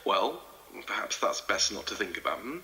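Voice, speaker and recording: An actor playing a cheerful, curious young amateur investigator in an audio drama, speaking in a wry, chatty, polite way. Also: male voice, on the low side, big pitch swings, normal speed, sharp tone, full character voice. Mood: easygoing, polite. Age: young adult.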